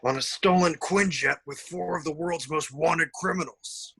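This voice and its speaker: A scornful government official, played by a man at a script read-through. His voice is bright, forceful and a little high for a man, with a cutting, stern delivery. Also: normal speed, creaky, breathy edge, full character voice. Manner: cutting, accusatory. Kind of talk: amateur table read of a film script.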